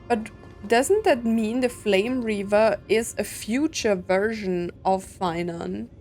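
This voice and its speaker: A woman's voice: medium pitch, takes it slowly, a little mellow, faint music behind. A woman doing casual let's-play commentary, musing aloud in a conversational way as she plays. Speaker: gaming content creator.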